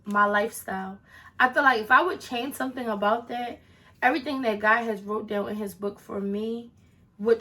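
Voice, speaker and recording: A woman's voice: mid-range voice, fairly bright tone. A woman of twenty-one answering viewer questions in a casual vlog, chatty with sassy asides to her viewers. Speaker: vlogger.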